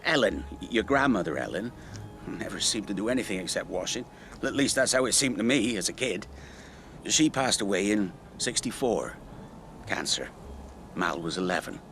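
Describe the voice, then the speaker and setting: A man's voice: fairly low pitch, animated, normal speed, a bit bright, a little hiss or hum. An actor playing a plain-spoken, remorseful middle-aged countryman in a naturalistic radio drama, reflective in manner. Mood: reminiscing.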